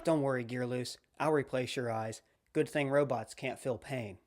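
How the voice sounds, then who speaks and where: A woman's voice: rumbling low, moderate pace, a little mellow. A woman playing an earnest, pompous space hero captain, commanding and declamatory, in a comedy audio drama. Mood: distressed, vengeful. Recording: clearly noisy background.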